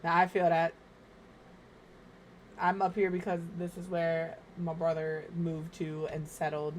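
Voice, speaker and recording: A woman from Minnesota streaming from home, chatting casually and rambling, her voice slightly hoarse and crackly as it recovers. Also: on the low side, flat delivery, measured pace. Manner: rambling, chatty, self-deprecating. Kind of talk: casual chat while gaming.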